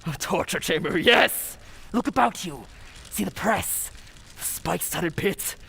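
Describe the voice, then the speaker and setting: A woman's voice: lowish voice, lively intonation, measured pace, gravelly, sharp tone, breathy edge, full character voice. A woman playing a male villain with feigned mild manners and a sadistic streak, in old-time radio melodrama style. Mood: gloating menace. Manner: theatrical, menacing, gloating.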